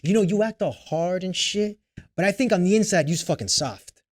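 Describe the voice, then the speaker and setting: A man's voice: sits high, animated, moderate pace. A man acting a crude, mocking teenage street punk in slangy talk at a table read.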